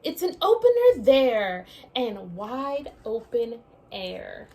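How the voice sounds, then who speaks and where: High-pitched, animated woman reading to children. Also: takes it slowly. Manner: expressive, sing-song storybook delivery with asides to children. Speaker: schoolteacher reading a picture book aloud to pupils. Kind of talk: animated children's read-aloud, speaking directly to young listeners.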